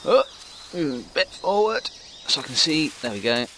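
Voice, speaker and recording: A man's voice: on the high side, very animated, normal speed, noisy background. A man giving casual, chatty game commentary with offhand muttered asides. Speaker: let's play commentator.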